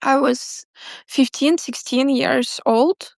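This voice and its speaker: A woman in her twenties from Crimea, not a native English speaker, speaking haltingly with many pauses and restarts as an interview guest. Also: high voice, fairly even intonation, vocal fry, a little mellow, no echo. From Crimea, Ukraine. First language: Crimean Tatar. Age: 20s. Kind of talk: casual interview talk.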